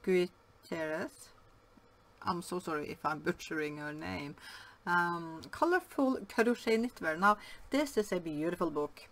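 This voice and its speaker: Slow, hesitant woman with a Norwegian accent. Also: lowish voice, a bit bright. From Norway. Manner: hesitant, many fillers and restarts. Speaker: yarn vlogger. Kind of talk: casual show-and-tell vlog. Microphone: camera above the table, hands only.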